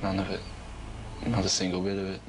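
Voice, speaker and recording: Deep-voiced teen guy, halting talk. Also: completely flat delivery, background noise. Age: late teens. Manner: hesitant, halting, many fillers and restarts. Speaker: interviewee. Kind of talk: news interview. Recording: news interview with music underneath.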